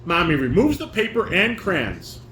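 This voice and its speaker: A man's voice: sits high, wildly swooping pitch, normal speed, a bit bright. A man casually coaching a rehearsal as a father would, reading stage directions aloud and giving corrections. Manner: instructing, repeats and corrects lines. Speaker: father directing a home table read. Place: echoey room at home with fan and air conditioner. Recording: roomy echo, fan and air conditioner noise.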